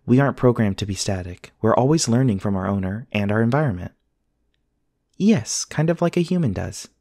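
A man plays a courteous male android companion in an audio roleplay. His voice sits fairly low and is recorded close and dry. He speaks with formal, careful precision, earnest and literal. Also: expressive, mellow, no room sound. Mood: courteous, helpful. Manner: formal, measured, precise diction. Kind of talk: scripted ASMR roleplay acting.

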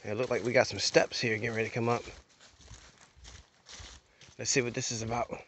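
A man's voice: mid-range voice, level tone, a little fast, fairly bright tone. A man and father narrating casually as he hikes a wooded mountain trail, chatty and pointing out sights. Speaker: father filming a hike. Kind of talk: casual vlog narration while hiking. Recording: outdoor camera audio with clear background noise.

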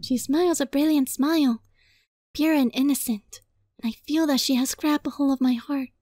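A woman reading a visual novel aloud as a let's play, dramatic and playful, in a giggly, flustered, excitable manner. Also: high voice, moderate pace, no echo, clean studio sound. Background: music.

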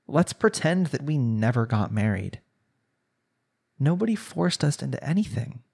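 A man's voice: medium pitch, vocal fry, a little mellow, slightly rough, exaggerated voice. A young man playing a jealous, lovestruck husband in an intimate romantic audio roleplay, speaking in an earnest, pleading way. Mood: tender, begging. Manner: earnest, pleading, sighing delivery.

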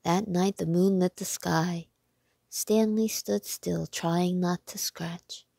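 Slow, creaky woman reading a storybook. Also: on the low side, steady tone, warm tone, no room sound, clean studio sound. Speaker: read-aloud narrator. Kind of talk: scripted children's storybook narration.

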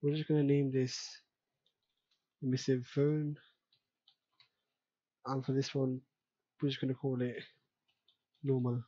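A man giving an unscripted software tutorial in a casual way, thinking aloud step by step as he works. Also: medium pitch, flat delivery, slow, pauses often, dry recording, muffled recording. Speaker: tutorial presenter. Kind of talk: unscripted screen-recorded software tutorial narration.

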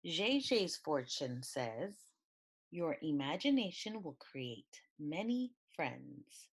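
Woman storybook reader, lowish pitch, unhurried pace, expressive and deliberate delivery for a picture book. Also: slightly rough. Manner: expressive, deliberate reading with questioning lilts. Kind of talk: picture book read-aloud.

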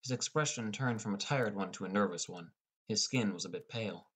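A young man reading a scripted first-person audiobook as a depressed high school boy. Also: fairly quick, a bit bright, husky. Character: depressed, irritable high school boy telling his story. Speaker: audiobook narrator.

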